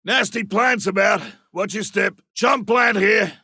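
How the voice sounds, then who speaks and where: Gravelly Australian monster hunter. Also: male voice, high voice, unhurried, no echo, studio quality, full character voice. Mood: alert, taunting. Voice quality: gravelly, rough. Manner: colloquial, blunt, forceful delivery. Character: gruff male monster hunter, rugged, cocky, seasoned trapper. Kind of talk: video game combat barks and callouts.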